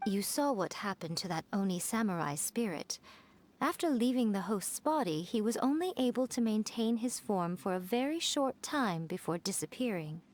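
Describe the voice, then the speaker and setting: A woman voicing a sly, elegant fox spirit in a video game, teasing and coaxing in an unhurried, playfully condescending way. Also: medium pitch, animated, a little mellow, slight background noise, close to an everyday voice. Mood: poised, instructive. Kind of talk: video game character dialogue.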